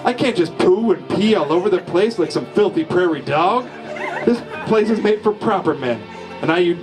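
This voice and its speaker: A man reading a comic first-person story aloud in a slow, folksy deadpan drawl at a live comedy show. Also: sits high, rough recording. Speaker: storyteller reading his father's short story.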